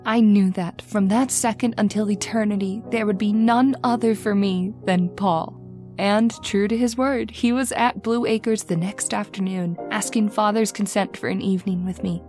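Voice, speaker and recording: A woman's voice: mid-range voice, fairly even intonation, moderate pace, darkish tone, soft background music, natural voice. A woman playing a lonely, romantic twenty-one-year-old daughter in an old-time radio drama, with a wistful, formal manner. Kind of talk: old-time radio horror drama acting, first-person narration.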